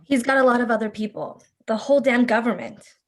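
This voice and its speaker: A woman's voice: fairly high pitch, brisk, close to an everyday voice. A woman playing an investigator's worried, protective wife in a dramatic script read, pleading and pointed. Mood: anxious, pleading. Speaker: actor in a table read.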